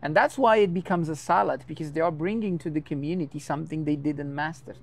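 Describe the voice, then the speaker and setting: A man's voice: on the high side, fast, vocal fry. A man, a dance teacher whose first language is a Portuguese creole, giving long thoughtful interview answers in English and explaining with everyday comparisons.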